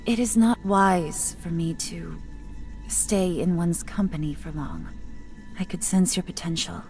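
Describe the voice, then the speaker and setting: A woman playing a wise, guarded, mysterious wanderer in a video game, with measured, poised storytelling delivery. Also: lowish voice, a little mellow, soft background music.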